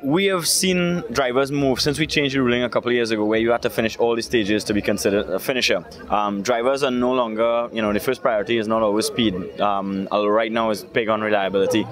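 Trinidadian man, thirties, club president, relaxed pauses; noisy outdoor crowd behind. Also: on the low side. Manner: relaxed, many "um" fillers, measured. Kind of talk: outdoor event interview. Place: outdoor rally paddock. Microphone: handheld interviewer mic. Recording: outdoor event with crowd noise. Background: crowd chatter.

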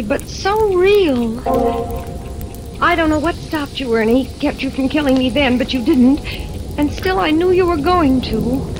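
A haunted, frightened wife in 1940s radio drama. Her very high voice is melodramatic and imploring, heard through clear vintage recording noise. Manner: breathless, tearful, melodramatic pleading. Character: terrified, pleading wife recounting a nightmare.

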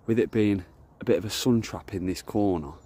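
A man's voice: on the low side, a little fast, warm tone, breathy edge. A man chatting casually as he walks around a lake, filming on his phone, rambling and correcting himself as he goes. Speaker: carp angler vlogger. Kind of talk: casual walk-and-talk vlog. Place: outdoors by a lakeside, walking through bushes. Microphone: phone camera held while walking.

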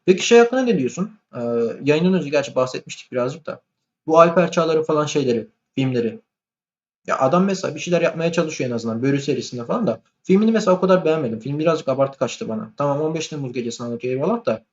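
Guy chatting movies, talks really fast, bit high pitched, opinionated, muffled lofi sound. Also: expressive, darkish tone. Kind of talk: casual chat about films.

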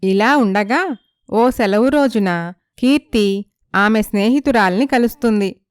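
A woman's voice: medium pitch, unhurried, smooth, no echo. A woman reading scripted narration for a story.

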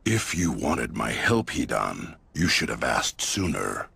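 Extremely deep, gravelly anime mercenary. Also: male voice, big pitch swings, measured pace, background noise, heavily acted. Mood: cold, scolding. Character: menacing, stern, unimpressed older male mercenary. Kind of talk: English anime dub acting. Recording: loud music behind the voice.

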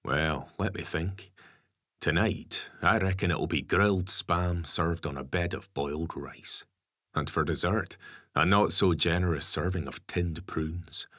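A man's voice: low-pitched, measured pace, sharp tone, full character voice. A man voicing a jovial, affectionate family dad who likes to tease, in a story reading. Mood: teasing. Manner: teasing, affectionate. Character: Gary, jovial working-class family dad.

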